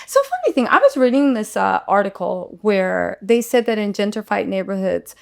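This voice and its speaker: A woman's voice: medium pitch, sing-song, normal speed, creaky. A woman in her forties, a lawyer chatting candidly and playfully as a guest, cursing now and then. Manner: playful, candid, swears casually. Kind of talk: casual interview chat.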